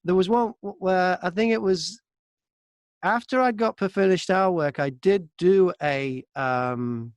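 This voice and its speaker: Male interview guest, high-pitched, chatty storyteller, very dry close sound. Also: measured pace, no room sound. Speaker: audiobook narrator and voice-over artist, interview guest. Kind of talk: casual interview anecdotes. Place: inside a wardrobe used as a home booth.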